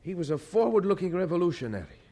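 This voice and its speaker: An actor playing an earnest, devout pastor with a hesitant manner in a radio drama. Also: male voice, sits high, sing-song, mellow, husky, full character voice. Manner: hesitant, halting in polite talk. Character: earnest, devout German-born male pastor, married.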